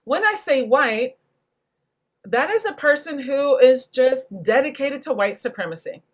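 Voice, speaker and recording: A woman hosting a panel discussion, speaking in a reflective, deliberate way and carefully spelling out what she means. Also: sits high, animated. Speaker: panel host and moderator.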